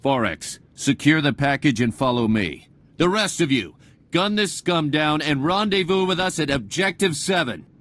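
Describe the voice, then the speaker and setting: Commanding, higher-pitched renegade soldier. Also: male voice, animated, moderate pace, fairly bright tone. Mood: urgent, commanding. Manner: commanding, impatient. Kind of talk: video game voice acting.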